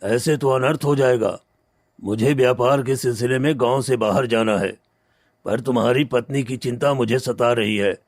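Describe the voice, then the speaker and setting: A man's voice: mid-range voice, moderate pace, dry recording, studio quality, natural voice. A man playing a callous, scheming elder brother and rich moneylender in a cartoon story, grave and commanding. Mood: feigned concern. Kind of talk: cartoon moral story acting.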